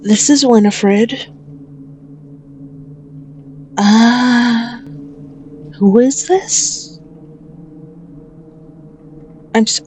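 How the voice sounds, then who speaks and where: Woman playing a slow, menacing female mafia boss. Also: mid-range voice, a little mellow, a little hiss or hum. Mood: feigned politeness. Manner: slow, mocking, condescending, possessive. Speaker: ASMR roleplay performer. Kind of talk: ASMR roleplay acting.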